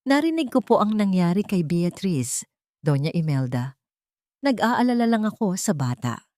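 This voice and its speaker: A woman's voice: lowish voice, sing-song, moderate pace, a little mellow, no room sound, studio quality. A woman voicing a humble, anxious servant woman, earnest and pleading, in an audiobook drama. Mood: timid concern.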